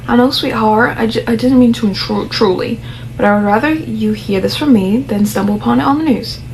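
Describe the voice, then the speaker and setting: Gentle apologetic mother, read by a hoarse woman. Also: on the high side, fairly quick, slight background noise, close to an everyday voice, rough recording. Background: background music.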